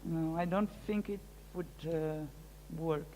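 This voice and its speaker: A woman whose first language is French, answering audience questions as a guest lecturer, thinking aloud with many pauses and fillers. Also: sits low, steady tone, dark tone, slight background noise. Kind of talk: live public Q&A. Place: large lecture hall. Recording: reverberant hall.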